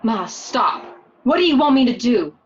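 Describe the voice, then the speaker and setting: A woman acting a candid, conversational young married woman in a radio play, quick to get defensive. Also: on the high side, big pitch swings. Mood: exasperated, defensive. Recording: dull, lo-fi home recording.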